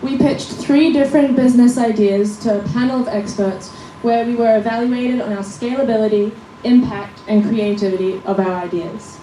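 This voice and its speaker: A young woman in her late teens gives a rehearsed student presentation in a clear, numbered, read-through delivery. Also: fairly high pitch, steady tone, moderate pace, hardly any pauses, mellow. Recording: echoey room from a distance.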